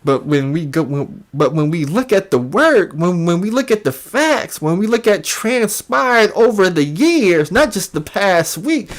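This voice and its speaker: A man's voice: high-pitched, very animated, a little slow, hardly any pauses. A man hosting reaction commentary in a mocking manner, often repeating phrases for emphasis. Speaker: commentary host.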